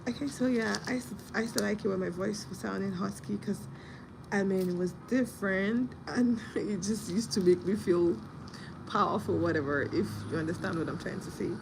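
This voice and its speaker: A young woman hosting a casual live stream, husky from a sore throat, telling a story and greeting viewers by name. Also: medium pitch, normal speed, background noise.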